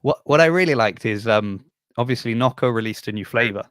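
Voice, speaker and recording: A man's voice: medium pitch, animated, fairly quick, fairly bright tone, dry recording. Brief: male podcast host, mid-range voice, cheerful and teasing, close dry sound. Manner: upbeat, playful and teasing. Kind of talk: casual interview chat.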